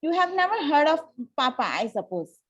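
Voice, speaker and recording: Haughty young society lady, played by a woman, high-pitched, formal and sure of herself, Victorian comedy table read. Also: very animated, a little fast, a bit bright, dull audio. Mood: haughty, pronouncing. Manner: formal, pronouncing, self-assured. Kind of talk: amateur play table read.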